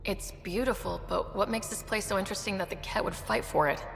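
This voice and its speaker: A woman's voice: medium pitch, steady tone, brisk, vocal fry, close to an everyday voice. Casting: woman for decisive sci-fi heroine in a game, casual and wry, with long reverb and light music. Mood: curious, questioning. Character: young female space explorer team leader, curious and decisive. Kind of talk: video game voice acting.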